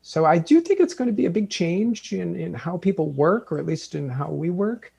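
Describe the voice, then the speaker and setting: A man speaking as a newspaper executive on a panel, thoughtful and halting, with many fillers. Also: high voice, expressive, creaky, warm tone, breathy edge. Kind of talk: panel discussion.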